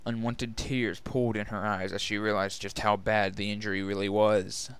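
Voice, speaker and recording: Low, smooth male story narrator. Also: level tone, normal speed, fairly bright tone. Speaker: narrator and reader. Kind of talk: dramatic scripted story narration.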